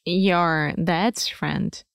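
Woman, Brazilian Portuguese accent, native Portuguese speaker, slow pace, smooth clear voice, upbeat podcast co-host. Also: fairly low pitch, fairly even intonation, dry recording, studio quality. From Brazil, Rio de Janeiro. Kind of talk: casual educational podcast chat.

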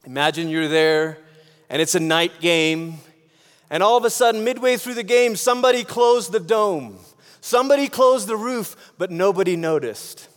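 A man's voice: high voice, normal speed. A man from Malaysia preaching a sermon in a conversational way, posing rhetorical questions and repeating phrases for emphasis. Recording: long hall reverb.

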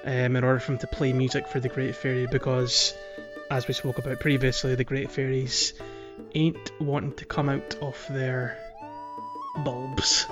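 Man podcasting over clear plucked guitar music. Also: mid-range voice, little pitch movement, normal speed. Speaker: podcast host. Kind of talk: casual chat about a video game.

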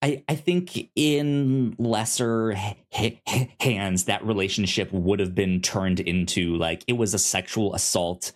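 A man co-hosting a podcast, casually discussing fiction in a halting way, often stumbling over the starts of words. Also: lowish voice. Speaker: podcast co-host reviewing fiction.

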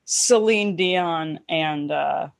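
A woman's voice: low voice, extremely slow, creaky, no echo. Dry-voiced woman chatting casually. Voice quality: scratchy throat this season. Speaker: podcast co-host. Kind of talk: casual chat.

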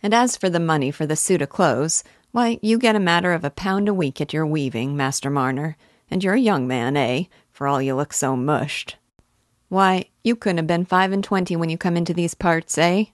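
A woman's voice: deep voice, moderate pace, no echo, clean studio sound, close to an everyday voice. A woman voicing an old male English village busybody with a rural dialect, self-important and neighborly, in a storybook reading. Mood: coaxing, advising. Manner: rambling, opinionated, advice-giving.

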